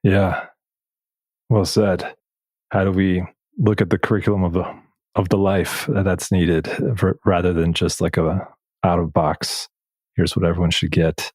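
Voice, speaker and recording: A man with a low voice, recorded dry and close with no room sound. He speaks as a podcast host in a reflective, conversational way, pausing as he searches for the right phrasing. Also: little pitch movement, darkish tone, husky, dry recording. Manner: thoughtful, searching phrasing with pauses.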